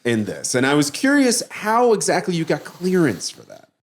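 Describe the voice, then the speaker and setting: A man's voice: fairly high pitch, huge pitch swings, moderate pace. A man working as an interviewer, earnest and nitpicking in a mock-serious comedy interview, turning hesitant when challenged.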